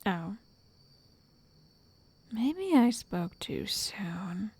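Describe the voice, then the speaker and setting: A woman voicing an obsessive, lovestruck witch in an ASMR roleplay, soft and whispery, coaxing with possessive endearments. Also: medium pitch, very animated, very slow, husky, dry recording, exaggerated voice. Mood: patient, controlling.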